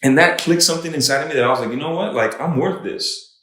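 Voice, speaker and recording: A man's voice: fairly high pitch, sharp tone. A man who works as a fitness trainer, chatting on a podcast in an enthusiastic, encouraging way. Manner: enthusiastic, encouraging, quick to affirm. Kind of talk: casual chat. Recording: echoey room.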